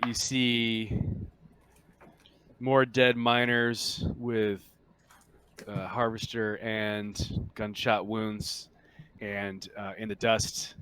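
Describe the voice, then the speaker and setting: A man's voice: mid-range voice, fairly bright tone, clear, resonant tone. A man narrating a tabletop roleplaying game as game master in a casual, jokey, improvising manner.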